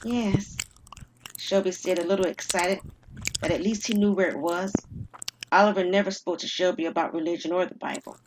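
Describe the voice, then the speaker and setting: A woman storyteller reading a scripted dramatic tale with measured, suspenseful phrasing. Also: lowish voice, fairly even intonation, background noise. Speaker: storyteller and performer.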